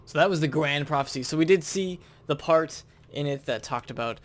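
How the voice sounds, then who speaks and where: A man's voice: on the high side, a little fast. A man hosting a gaming video, chatting casually and thinking aloud as he comments on his play.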